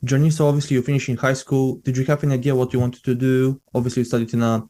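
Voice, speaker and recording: A man's voice: mid-range voice, little pitch movement, racing, mellow. A man hosting a conversational interview. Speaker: podcast host, interviewer.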